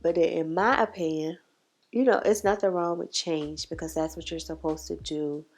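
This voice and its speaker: A woman's voice: fairly low pitch, fairly even intonation. A congested woman, chatting casually to camera about makeup and giving her opinions. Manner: chatty, opinionated, drawn-out emphasis. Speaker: beauty vlogger. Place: home makeup setup with ring light. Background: soft background music.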